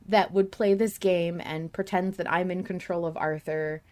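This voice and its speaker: A woman hosting a casual podcast discussion, thinking out loud at length with some emphasis. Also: lowish voice, steady tone, a little slow. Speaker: podcast host.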